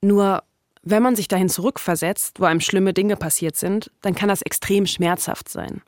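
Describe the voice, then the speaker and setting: A woman's voice: medium pitch, steady tone, normal speed, darkish tone, no room sound. Woman podcast narrator, dry close sound. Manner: conversational, short dramatic sentences. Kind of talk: scripted narrative podcast storytelling. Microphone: close studio microphone.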